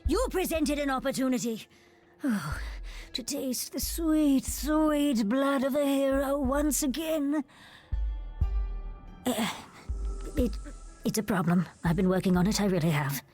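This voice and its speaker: A woman's voice: medium pitch, expressive, moderate pace, a little mellow, breathy edge, soft background music. An actress playing a cursed, blood-hungry female monster spy who speaks in formal, archaic, pleading phrases in a video game. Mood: hungry, conflicted. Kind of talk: theatrical video game voice acting.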